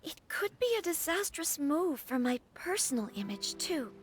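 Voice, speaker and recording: An actress playing a formal, anxious young noblewoman in a video game, speaking gently and with some hesitation. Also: high voice, sing-song, moderate pace, over music.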